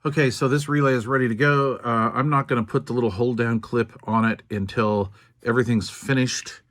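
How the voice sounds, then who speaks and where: An older American man casually narrating a hands-on repair in a folksy, unhurried way, thinking aloud as he goes. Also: medium pitch, fairly even intonation, fairly bright tone. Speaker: radio repair video host.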